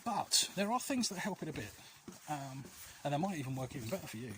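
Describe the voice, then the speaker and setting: Man rambling over clear outdoor noise. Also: fairly high pitch, very animated, fast talker. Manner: rambling, often losing his thread. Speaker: vlog host. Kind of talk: casual advice chat to viewers while walking. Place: outdoors, walking along alleys. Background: outdoor ambient noise.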